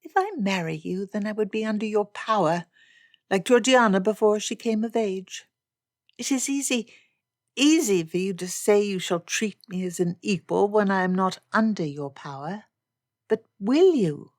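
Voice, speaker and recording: A woman voicing a spirited, stubborn young gentlewoman in a period romance audiobook, speaking in emphatic, impassioned formal phrases. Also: medium pitch, normal speed, no room sound, clean studio sound, close to an everyday voice. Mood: wary, firm.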